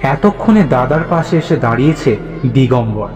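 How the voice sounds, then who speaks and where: An echoey, reverberant voice over faint music, this man is a storyteller narrating a scripted horror tale. His delivery is dramatic and deliberate, at a medium pitch. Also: big pitch swings, a crowd in the background.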